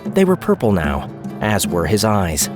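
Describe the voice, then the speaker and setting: A man narrating an action-packed audio drama in a measured, storytelling delivery, with music behind him. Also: lowish voice, wildly swooping pitch. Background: music score.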